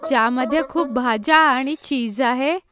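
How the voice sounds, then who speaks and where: Bubbly high-pitched little schoolgirl. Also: measured pace, over music. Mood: keen. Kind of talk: children's cartoon voice acting.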